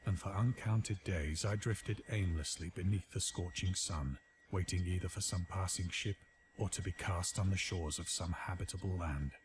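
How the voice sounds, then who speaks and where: A man playing a despairing, morphine-addicted castaway sailor, narrating his final testament in a grave, formal, measured way. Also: sits low, background noise, lightly acted. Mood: somber recounting. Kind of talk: scripted dramatic literary narration.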